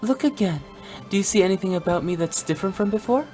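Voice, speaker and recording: A mischievous young woman who runs a funeral parlor in a video game, voiced by an actress. She teases with a lively, sing-song lilt at a mid pitch. Faint game music plays behind. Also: fast talker, close to an everyday voice. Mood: playful. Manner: teasing, playful.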